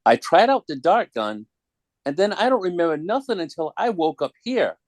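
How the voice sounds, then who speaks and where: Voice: medium pitch, very animated, normal speed, darkish tone, no room sound, studio quality. An adult performer playing a cheeky, slangy young boy in a dramatic comic-book table read. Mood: eager, awed. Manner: slangy, wide-eyed, childlike. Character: cheeky, excitable young boy, hypnotized into robbery.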